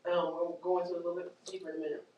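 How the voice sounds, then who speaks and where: Woman trainer, loud and animated, teaching caregivers; roomy distant sound. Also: lowish voice, racing, muffled recording. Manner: animated, joking, acts out scenes, Southern-style casual grammar. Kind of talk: lively workshop teaching. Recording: echoey room from far off, faint noise.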